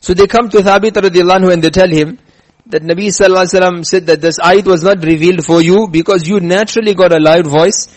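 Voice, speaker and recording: A man with a rather high voice delivers a religious talk. He slows down to stress his lessons and tells a story as he goes. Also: fairly even intonation, rough recording. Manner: emphatic, slows down to stress points. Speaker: preacher. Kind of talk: religious lecture with chanted scripture.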